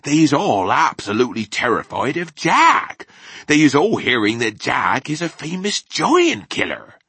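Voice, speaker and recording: A man voicing a gentle, friendly giant in a children's storybook, confiding in a folksy, ungrammatical way. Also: mid-range voice, big pitch swings, unhurried, slightly rough, no echo, pitched above the performer's own voice, heavily acted. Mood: hushed, earnest. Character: big friendly old-fashioned giant, male, gentle, mangles grammar. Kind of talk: dramatised children's storybook reading.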